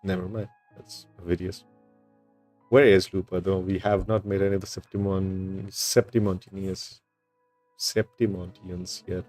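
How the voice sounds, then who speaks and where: A man gives casual game playthrough commentary as a streamer. His voice is very low and dark. Game music plays softly behind him. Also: flat delivery, measured pace.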